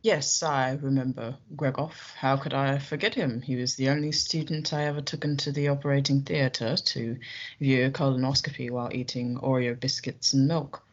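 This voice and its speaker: A woman's voice: very deep voice, steady tone, normal speed. A woman playing a dry, reminiscing university dean in a radio play. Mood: reminiscing, guarded. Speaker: radio drama actor. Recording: dull lo-fi audio.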